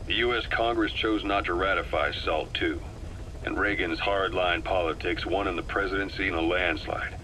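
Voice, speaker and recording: An actor playing a composed, worldly military operative giving a measured briefing in a video game. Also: male voice, low voice, steady tone, pushed and bright, a little hiss or hum, rough recording. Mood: matter-of-fact. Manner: measured, matter-of-fact, explanatory.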